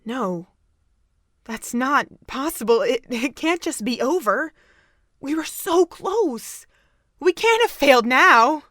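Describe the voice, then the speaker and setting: A woman's voice: sits high, expressive, slow, fairly bright tone, no echo, clean studio sound, heavily acted. A woman playing an anxious, caring and earnest young friend in a fan audio drama. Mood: distraught.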